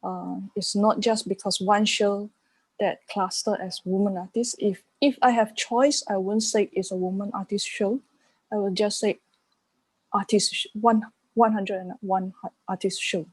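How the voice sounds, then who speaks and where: A woman's voice: mid-range voice, steady tone, a little slow, no echo, rough recording. A woman giving an unscripted answer in an art discussion, hesitant and often searching for her words. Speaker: panel speaker on art.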